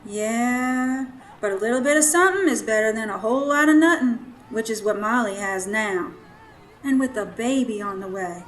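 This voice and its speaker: A woman's voice: a little high, animated, normal speed, lightly acted, low-quality recording. A woman acting in a radio drama as a kindly, plainspoken boarding-house keeper with a folksy, sympathetic manner. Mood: somber.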